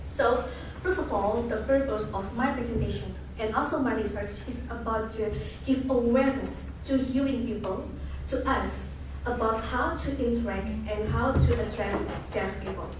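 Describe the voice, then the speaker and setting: Young indonesian woman giving a student talk, kinda high voice, far off mic with background noise. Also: normal speed. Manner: earnest, explanatory, frequent restarts. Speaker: student presenter. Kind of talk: academic presentation. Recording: echoey room from far off, noisy.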